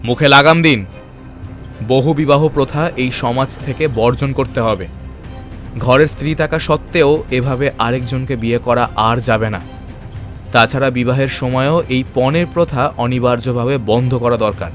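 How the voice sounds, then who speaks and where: A man's voice: medium pitch, moderate pace, faint music behind, lightly acted. A man voicing a stern, righteous social reformer in a cartoon, with firm, declamatory delivery. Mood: stern, resolute. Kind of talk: dramatic cartoon voice acting.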